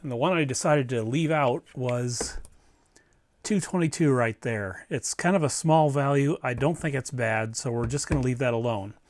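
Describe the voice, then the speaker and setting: A man with a mid-pitched voice narrates hands-on repair work in a casual way. He thinks aloud step by step and speaks directly to the viewer, as the host of a do-it-yourself electronics video. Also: moderate pace.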